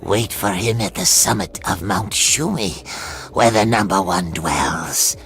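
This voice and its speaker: Game mystic: sinister manipulator, low gravelly drawl, ominous, over music. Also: male voice, animated, slow, fairly bright tone, full character voice. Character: sinister, manipulative mystic mentor speaking of pain. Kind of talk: dramatic video game acting.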